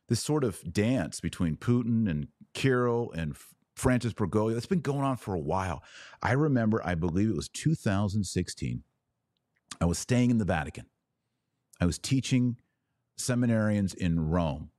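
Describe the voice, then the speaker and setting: A man with a deep voice speaks in a dry, close recording. He delivers an unscripted monologue as a religious commentator, emphatic and given to repeating phrases for weight. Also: darkish tone, dry recording. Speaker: podcast host and religious commentator.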